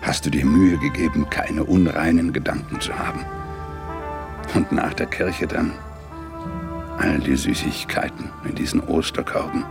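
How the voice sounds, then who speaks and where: An actor playing a hard-boiled, shaken New York police detective in a radio crime drama, weary and angry, in terse, measured phrases. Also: male voice, fairly even intonation, music in the background, full character voice. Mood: brooding, nostalgic. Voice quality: deep, gravelly. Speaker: actor, narrator and lead. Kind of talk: radio crime drama, intimate first-person monologue and dialogue.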